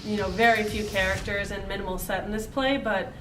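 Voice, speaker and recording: A woman's voice: mid-range voice, fairly even intonation, fairly quick. A young woman, an actor, chatting casually in an interview. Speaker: student actor. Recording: echoey, distant mic, music behind. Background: background music.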